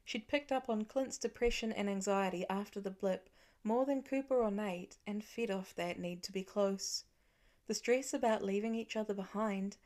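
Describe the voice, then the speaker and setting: A woman's voice: mid-range voice, a little mellow. A woman reading scripted fiction as an audiobook narrator.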